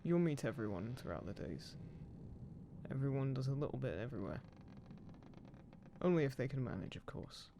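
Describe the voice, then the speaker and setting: A man's voice: mid-range voice, very animated, normal speed, long pauses, noisy background. A man playing a guarded ghost pirate captain, protective of his crew, speaking plainly and unhurriedly to one listener in an audio roleplay. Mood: fond, assured. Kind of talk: immersive roleplay audio drama, spoken intimately to one listener. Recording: clear background ship-creak sound effects. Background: creaking wooden ship ambience.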